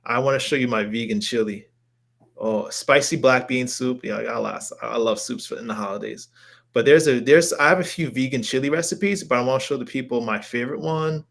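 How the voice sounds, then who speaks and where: A man's voice: medium pitch, brisk, creaky, fairly bright tone. A man hosting a live show as a health coach, chatting casually and enthusiastically, rambling and repeating himself as he browses. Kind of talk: casual livestream chat while browsing recipes.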